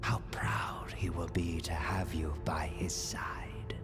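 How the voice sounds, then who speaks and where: An actor playing a sinister, mocking henchman of a dark master in a video game, with silky, breathy menace. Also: sits low, little pitch movement. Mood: sinister, taunting. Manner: slow, mocking, breathy menace dropping to whispers. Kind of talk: video game villain voice acting. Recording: music under the voice.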